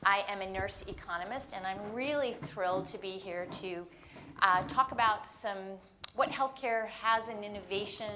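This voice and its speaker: A woman moderating a conference panel on stage, energetic and encouraging, with a few fillers. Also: medium pitch, noisy background. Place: conference stage before an audience.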